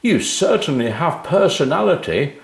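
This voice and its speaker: A man's voice: a little high, big pitch swings, moderate pace, vocal fry, roomy sound, pitched above the performer's own voice. A man playing a friendly, hearty large businessman in a children's story, speaking in an expansive, emphatic way. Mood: jovial.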